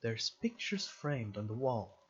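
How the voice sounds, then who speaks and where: A man's voice: mid-range voice, big pitch swings, measured pace, dull audio, slight background noise. A man doing a let's play of a visual novel, reading the text aloud and breaking off with jokey, sweary reactions. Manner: sweary, jokey exclamations between read-aloud passages. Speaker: gaming video host.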